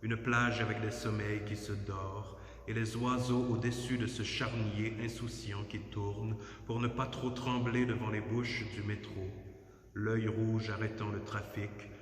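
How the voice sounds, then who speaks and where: A man with a fairly low voice recites poetry in a solemn, declamatory way. His phrasing is measured and his intonation stays fairly even. The sound is that of a church, with strong echo and a long reverberant tail. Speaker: poetry reader. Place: inside a church at night.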